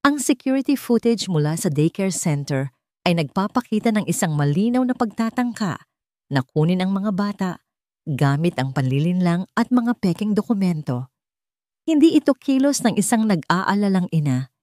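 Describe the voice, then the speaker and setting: A woman voicing a calm, authoritative female judge in an audio story, measured, formal and firm. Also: mid-range voice, no echo, clean studio sound, lightly acted. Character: calm, authoritative female family-court judge delivering a verdict.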